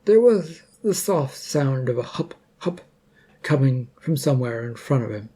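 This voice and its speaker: A man's voice: medium pitch, animated, measured pace, warm tone, breathy edge. A man reading scripted fiction as a story narrator.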